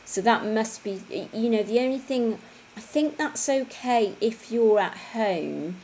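A woman's voice: fairly high pitch, animated, unhurried, darkish tone, a little hiss or hum. A woman, hosting a casual fitness livestream from home and chatting to viewers. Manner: chatty, conversational, with tag questions. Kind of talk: casual livestream chat reading viewer comments. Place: home room, indoors.

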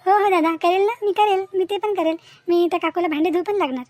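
Cartoon poor schoolgirl, acted by a woman: high, quick, earnest, proud, politely insistent. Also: darkish tone, slight background noise. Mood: eager, earnest. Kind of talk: animated moral story dialogue.

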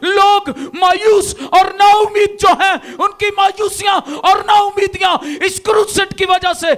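A middle-aged man with a Pakistani accent, preaching a sermon into a handheld mic on a stage, shouting at the peaks. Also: extremely high pitch. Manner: impassioned preaching, shouting at peaks, raising arms. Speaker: pastor. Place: large indoor hall with stage and pulpit. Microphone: handheld microphone.

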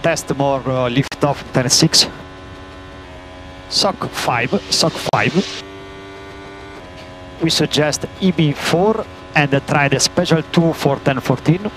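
A man working as a race engineer, giving terse, halting instructions over team radio and repeating key commands. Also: on the high side, a bit bright. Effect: team radio transmission. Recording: noisy motor-racing team radio.

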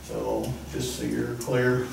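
A man's voice: mid-range voice, sing-song, takes it slowly, gravelly, a little mellow. A man giving an economic development report at a council meeting, casual and rambling. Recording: echoey room from far off with faint noise.

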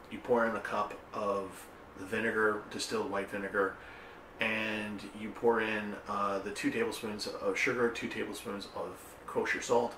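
A man's voice: on the low side, fairly bright tone, far from the mic, slight background noise. A middle-aged man hosting a home cooking video, talking casually with lots of ums and restarts. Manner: rambling and chatty, many ums and restarts; gestures with hands while talking. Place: home kitchen, indoors. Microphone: camera a little way off. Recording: echoey kitchen, voice a bit off-mic.

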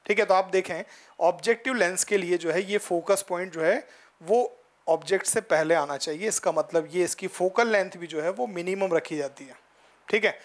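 A man's voice: high-pitched, normal speed. A man in his forties, a physics teacher giving a classroom lecture with a headset mic in a studio. He talks in an explanatory way, often tells students to look carefully, and mixes in English terms. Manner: gestures and points at the board while talking. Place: studio in front of a projected board.

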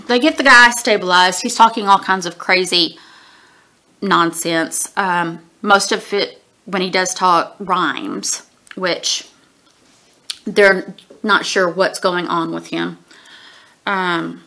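A woman's voice: on the low side, fairly even intonation, takes it slowly, long pauses, bright, forceful tone. A woman in her forties with allergy sniffles, chatting through a casual book review at home with lots of ums, recorded on a webcam at desk distance. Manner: chatty, rambling, frequent ums and throat-clearing. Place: small room at home, indoors.

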